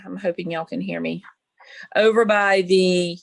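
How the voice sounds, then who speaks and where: A woman's voice: fairly low pitch, steady tone, measured pace, creaky, dry recording. A woman speaking as an applicant at a public meeting, explaining informally in an apologetic, hesitant manner over a remote call. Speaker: applicant, homeowner at a public hearing.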